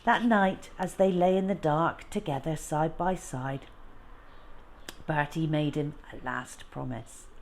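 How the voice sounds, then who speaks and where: Slow, low-pitched woman reading a story. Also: slight background noise. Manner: measured, dramatic delivery with hushed phrases. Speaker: children's storyteller. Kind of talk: expressive storybook narration.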